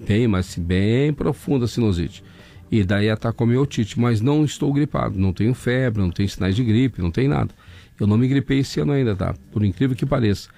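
A man with a southern Brazilian accent hosting an overnight radio show, hoarse from laryngitis, chatting folksily as he reads out listener messages. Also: mid-range voice, fairly quick, mellow, faint music behind. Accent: southern Brazilian, Rio Grande do Sul. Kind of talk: casual radio chat reading listener messages. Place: radio studio.

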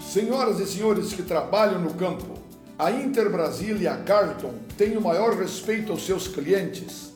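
A man with a southern Brazilian accent presenting a radio music show in a formal, courteous, measured announcer style. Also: high-pitched, darkish tone. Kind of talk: formal scripted radio presenting with sponsor reads. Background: music under the voice.

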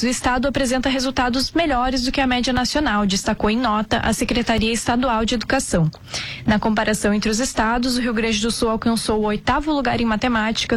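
A woman reads a radio news report in a measured, formal tone. Her colors the delivery, and her voice sits a little high.

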